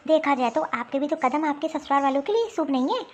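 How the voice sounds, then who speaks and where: A woman's voice: sits high, animated, quick pace, darkish tone. A woman voicing a wronged young wife in a cartoon family drama, protesting and explaining as she defends herself. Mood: retorting.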